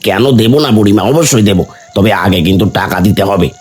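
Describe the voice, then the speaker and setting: A man's voice: lowish voice, fast, a little mellow, husky. A man voicing a greedy, rude village food seller in a cartoon, mocking and threatening. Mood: scornful.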